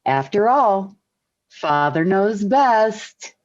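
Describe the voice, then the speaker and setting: A woman's voice: mid-range voice, sing-song, extremely slow, over loud music. An actress playing a patient, loyal middle-aged wife and mother in a 1940s radio sitcom, on a dull old recording. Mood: fond, patient.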